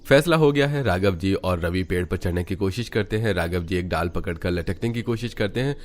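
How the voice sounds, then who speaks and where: A man's voice: sits low, brisk, soft background music. A man narrating a cartoon family story in a scripted storytelling style.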